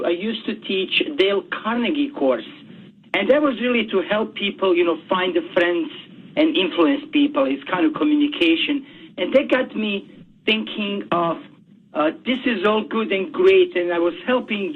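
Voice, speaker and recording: A man, a guest author speaking in a radio interview by phone, halting and often repeating words as he searches for them. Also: high voice, slightly rough. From Bosnia. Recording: tinny phone line.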